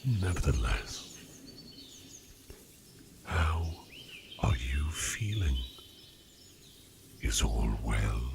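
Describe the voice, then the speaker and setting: A man voicing a courtly, curious sleep demon in human disguise, speaking formally in a breathy, half-whispered ASMR roleplay with deliberate pauses. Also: very deep voice, very animated, noisy background, exaggerated voice. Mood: soft, courteous. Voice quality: breathy, often dropping into whisper. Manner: formal, archaic, deliberate phrasing with many pauses. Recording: birdsong and forest ambience behind voice. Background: birdsong, outdoor nature sounds.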